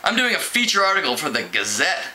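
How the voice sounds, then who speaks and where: A man's voice: fairly high pitch, very animated, fast, pushed and bright, exaggerated voice. A man reading a storybook aloud, voicing an eager, awkward teenage boy who politely improvises as a reporter. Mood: earnest, inquisitive. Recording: clearly noisy home recording.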